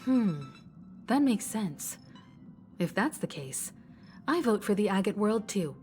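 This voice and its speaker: A woman's voice: medium pitch, big pitch swings, takes it slowly, a little mellow, faint music behind. A woman playing a composed, kindly crew leader in a video game. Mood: considering. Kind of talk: scripted game dialogue.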